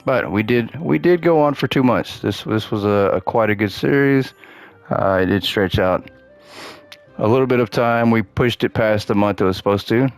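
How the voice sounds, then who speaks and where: A man hosting a gaming channel, chatting casually while he plays, his voice cracking and worn as he loses it. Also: lowish voice. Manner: chatty, upbeat, thinks aloud while playing. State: losing his voice. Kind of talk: casual gameplay commentary.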